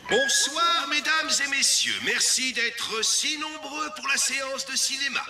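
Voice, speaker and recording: Very high-pitched cartoon showman. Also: unhurried. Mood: welcoming, ceremonious. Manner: courteous, ceremonious. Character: formal master of ceremonies at a village film show. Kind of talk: French children's cartoon dubbing, announcing. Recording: loud music behind the voice.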